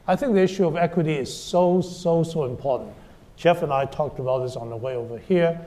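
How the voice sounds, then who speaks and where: A man's voice: fairly high pitch, brisk, mellow. A male scientist on a panel, answering audience questions in a confident, explanatory way, often checking in with "right?".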